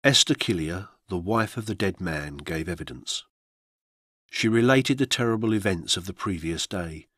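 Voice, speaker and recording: A man narrates a historical account for radio in a solemn, measured way. His voice sits a little low and has a husky grain. The recording is dry and close, as in a studio. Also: lively intonation, a bit bright, no room sound. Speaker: narrator reading true-crime history.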